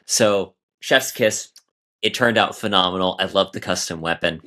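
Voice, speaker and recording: A man's voice: sits low, moderate pace, bright, forceful tone. A man in a casual podcast discussion, speaking in an enthusiastic, emphatic way. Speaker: podcast panelist.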